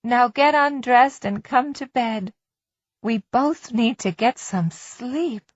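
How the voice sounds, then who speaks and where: A woman voicing a troubled middle-aged wife in an audiobook, controlled and quietly resolute. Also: on the high side, slow, no room sound, dull audio. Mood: stern. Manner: stern, controlled.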